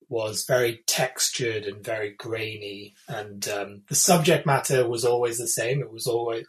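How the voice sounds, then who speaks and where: A man in his thirties from the UK, an illustrator talking casually in an interview, thoughtful and hesitant with many fillers and restarts. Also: mid-range voice. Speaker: illustrator, guest.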